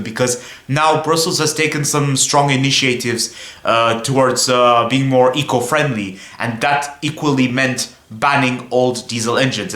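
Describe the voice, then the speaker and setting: A man's voice: medium pitch, fairly bright tone, reverberant. A young man from Belgium chatting casually to viewers on his car vlog, rambling a little and often saying "guys". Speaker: car vlogger.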